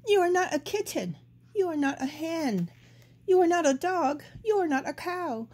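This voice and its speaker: A woman voicing a small lost baby bird who keeps searching for its mother, high and pleading. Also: animated, normal speed, darkish tone. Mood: relieved.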